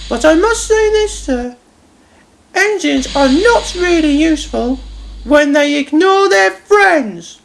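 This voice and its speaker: A man voicing a small, touchy young boy engine who talks in a high, whiny, plaintive way. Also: expressive, slow, gravelly, noisy background, heavily acted. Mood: earnest. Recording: music playing behind.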